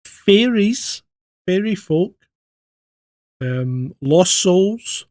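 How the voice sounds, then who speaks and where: Animated Scottish man, dry close sound. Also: high voice, drawn-out words, no echo. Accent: Scottish, West Coast leaning. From Scotland. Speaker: podcast co-host. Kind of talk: casual chat.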